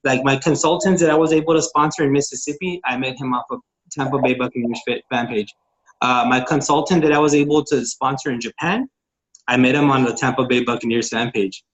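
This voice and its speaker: A man from Texas explains his methods on a conference call, eager and friendly, with plenty of "uh"s and restarts. His voice sits at an ordinary middle pitch. The call audio is dull and muffled. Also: steady tone, brisk. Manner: eager, informal, with many fillers and restarts. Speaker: network marketing consultant. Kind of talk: casual teaching on a group call.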